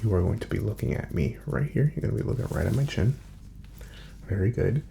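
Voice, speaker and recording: A man with a low, heavily creaky voice speaks softly, often dropping to a breathy whisper. He plays a calm doctor in an ASMR exam, giving gentle, unhurried instructions with a lilting rise and fall, over faint background noise. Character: calm, courteous male doctor examining a patient. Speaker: ASMR roleplayer playing a doctor. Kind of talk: soft-spoken ASMR medical exam roleplay.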